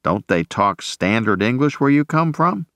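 A man's voice: medium pitch, very animated, normal speed, fairly bright tone, no echo. A man voicing an easygoing, wisecracking young male soldier in an audiobook. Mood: breezy. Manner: breezy, wisecracking. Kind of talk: audiobook character voice.

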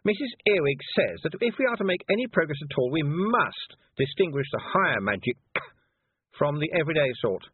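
Man acting a teenage girl witch, shrill high pitch, bossy, condescending, emphatic, storybook character, phone-like sound. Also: big pitch swings, a little fast, breathy edge, no echo. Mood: haughty, superior. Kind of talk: audiobook character voice. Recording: muffled narrow-band phone-like sound.

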